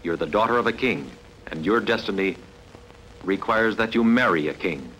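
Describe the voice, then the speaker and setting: A man's voice: lowish voice, animated, a bit bright, poor audio. An actor playing a loyal, humble strongman farmer and protective older brother in a dubbed ancient epic, speaking earnestly and formally. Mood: solemn, resigned. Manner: earnest, formal, measured phrasing. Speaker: film character in a fan dub. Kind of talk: dubbed sword-and-sandal film acting. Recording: film dub with faint background noise.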